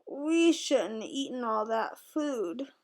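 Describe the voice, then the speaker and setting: A woman voicing excitable, cheeky little bunny children from a picture book, in a dramatic, childlike way. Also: on the high side, slow. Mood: whiny, miserable.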